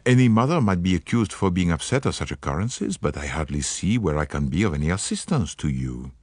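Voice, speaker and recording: A man's voice: sits low, big pitch swings, fairly quick, darkish tone, no room sound. A man voicing a fussy elderly Belgian detective with a French accent, precise and courteous, slipping in French words. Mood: gently dismissive.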